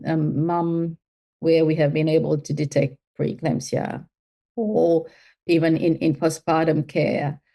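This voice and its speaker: A woman from Mauritius speaks as an interview guest, her voice low and mellow. She thinks aloud, circling back with fillers and restarts as she builds each answer. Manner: thoughtful, with many fillers and restarts. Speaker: guest, health tech CEO.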